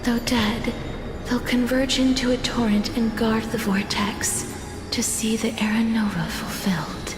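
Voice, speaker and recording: An actress voicing a solemn young woman who hears the dead, speaking in a hushed, grave half-whisper. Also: a little high, steady tone, a little slow, music in the background, natural voice. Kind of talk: video game voice acting. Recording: echoey room with loud score.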